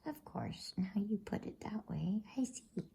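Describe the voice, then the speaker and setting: A woman voicing an earnest, decisive schoolboy in a storybook, in a breathy, emphatic ASMR whisper. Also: medium pitch, very animated, measured pace, dark tone, voice pushed up, full character voice. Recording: faint background noise.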